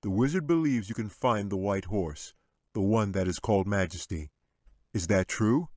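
A man voicing a calm, wise male angel in an audiobook, with a measured, solemn delivery. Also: on the low side, no echo, studio quality, lightly acted. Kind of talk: audiobook character reading.

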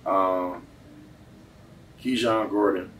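Deep voiced guy coach talking sports super casual, lots of bro, roomy echo. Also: fairly even intonation, extremely slow, a bit bright. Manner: slangy, often says bro. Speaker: football coach and trainer, guest. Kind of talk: casual interview chat. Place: indoor gym, podcast set. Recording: echoey, noisy gym, distant mic.

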